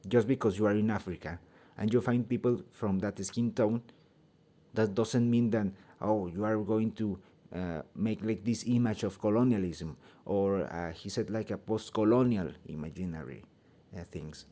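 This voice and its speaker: Man, Mexican Spanish accent, low, warm tone, hesitant, restarts, heated opinion talk, phone mic. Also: normal speed. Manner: hesitant, many fillers and restarts, grows heated. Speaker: vlogger giving an opinion. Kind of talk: casual opinion monologue. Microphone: phone held by hand.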